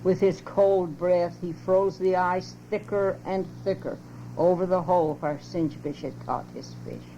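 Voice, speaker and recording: A grandmotherly low-voiced woman reading a picture book aloud at home, heard on an old humming cassette. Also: a little slow. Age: older. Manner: expressive read-aloud. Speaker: storyteller. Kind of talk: scripted children's story narration. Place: home tape recording. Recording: lo-fi muffled home cassette, loud hum.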